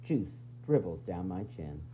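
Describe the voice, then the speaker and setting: A man reading a children's picture book aloud in an expressive, dramatic, sing-song way, with varied emphasis. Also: sits low, unhurried. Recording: dull lo-fi sound, faint noise and hum.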